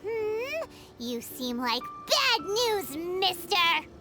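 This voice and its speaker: A woman voicing a small, childlike fairy companion in a video game, squeaky, blunt and suspicious. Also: extremely slow, music in the background, heavily acted. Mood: suspicious, curious. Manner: blunt, expressive, exclamatory.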